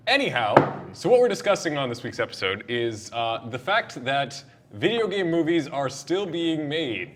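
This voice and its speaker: A man's voice: fairly high pitch, big pitch swings, normal speed, few pauses, a bit bright. A man hosting a casual, opinionated panel chat, emphatic and jokey, with playful exasperation. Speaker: host of a video game and movie discussion show.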